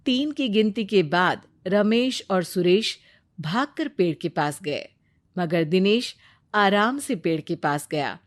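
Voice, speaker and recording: A woman narrator reads a scripted moral story. Her pace is slow and measured, with a middling pitch.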